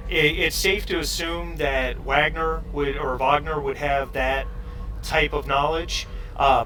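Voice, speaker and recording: A man running a tabletop game as game master, narrating off the cuff in a halting way, often repeating and restarting his phrases. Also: on the high side, pushed and bright. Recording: noisy, distant microphone.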